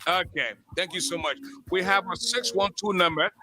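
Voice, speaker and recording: High, bright-voiced radio host man. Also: lively intonation, moderate pace, creaky. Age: 50s. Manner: chatty, repeats himself, stumbles into restarts. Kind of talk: live call-in radio chat.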